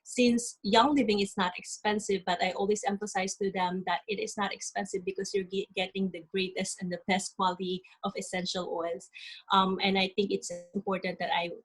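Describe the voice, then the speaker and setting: A woman from the Philippines, giving an earnest talk on a video call, often pausing with "um". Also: mid-range voice, level tone, slightly rough, poor audio. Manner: earnest, halting with many ums. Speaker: guest speaker. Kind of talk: webinar presentation.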